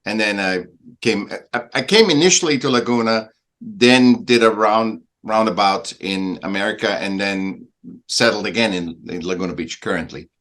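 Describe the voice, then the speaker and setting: A man from Vienna with an Austrian accent, a native German speaker, talking casually as a podcast guest in a halting, emphatic way. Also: lowish voice, fairly bright tone. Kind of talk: casual conversational interview.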